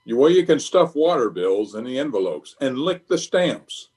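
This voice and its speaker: A man's voice: mid-range voice, very animated, normal speed, a little mellow, breathy edge. A man playing a blustering, self-important middle-aged uncle and small-town official in an old-time radio comedy, with a pompous manner. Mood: bossy.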